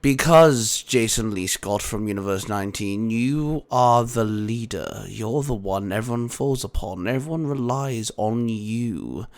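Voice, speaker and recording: Man voicing a grave, measured mentor. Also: lowish voice. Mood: earnest. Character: calm, grave male mentor guarding the multiverse. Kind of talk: dramatic audiobook character acting.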